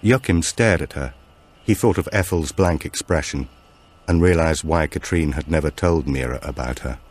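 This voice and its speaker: A man's voice: a bass voice, moderate pace, a little mellow, husky. A man reading a supernatural thriller aloud as a scripted audiobook narration.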